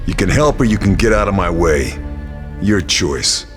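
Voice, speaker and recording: An actor playing a determined lone sniper who narrates the mission steadily and resolutely, in a game cutscene. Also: male voice, low-pitched, lively intonation, normal speed, faint music behind.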